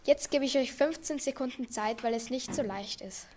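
A young teenage girl with a fairly high voice presents a classroom language quiz in a school radio piece. Her delivery is clear and teasing, with faint noise in the background. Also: moderate pace, a little mellow. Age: young teen.

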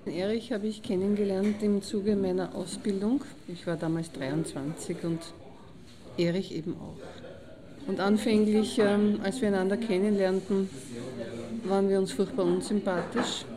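A woman playing a middle-aged, loyal friend who reminisces in measured, formal words in an audio drama. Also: medium pitch, steady tone, a crowd in the background, close to an everyday voice. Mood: appreciative.

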